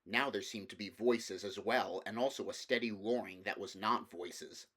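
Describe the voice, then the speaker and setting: A man's voice: fairly low pitch, moderate pace, fairly bright tone. A man reading a fantasy novel aloud as scripted storybook narration. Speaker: amateur audiobook reader.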